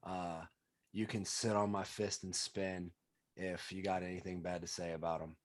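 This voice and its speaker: Low-voiced man, blunt and profane. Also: little pitch movement, moderate pace. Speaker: podcast co-host. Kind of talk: casual chat.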